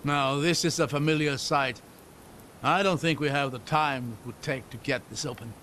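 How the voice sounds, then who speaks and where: An actor playing a thoughtful, kindly older scholar-adventurer in a video game, articulate and wry. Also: male voice, mid-range voice, steady tone, normal speed, a little hiss or hum, lightly acted. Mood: intent, curious. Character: learned, kindly older male scholar-adventurer, wistful about history. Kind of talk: video game cutscene acting. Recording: loud game score behind. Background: music.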